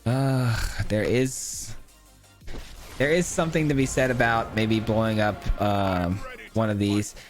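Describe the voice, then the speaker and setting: A man with a hoarse, cracking voice gives casual commentary on his own card game, counting aloud and thinking through his plays. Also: on the low side, steady tone, takes it slowly, faint music behind. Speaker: gameplay streamer. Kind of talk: casual live gameplay commentary.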